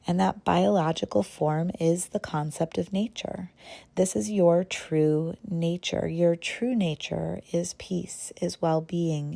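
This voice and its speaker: A woman leading a guided meditation as host, speaking gently and unhurriedly in short phrases with pauses between them. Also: lowish voice, gravelly. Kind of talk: guided meditation, slow soothing narration.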